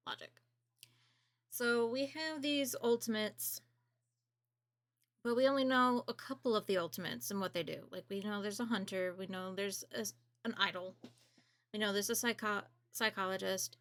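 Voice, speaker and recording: A woman's voice: mid-range voice, moderate pace, pauses often, fairly bright tone. A woman giving casual, blunt gaming commentary as a streamer, with informal, sweary phrasing. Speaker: game streamer reacting to and reviewing a demo.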